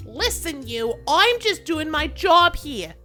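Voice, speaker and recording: A woman's voice: high-pitched, big pitch swings, slow pace, bright, forceful tone, soft background music, heavily acted. A woman playing a brash, officious receptionist in a comedic audio drama, talking slangily and dismissively. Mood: unimpressed, brusque. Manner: slangy working-class talk, dismissive. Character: brash, officious female receptionist at a celestial bureaucracy.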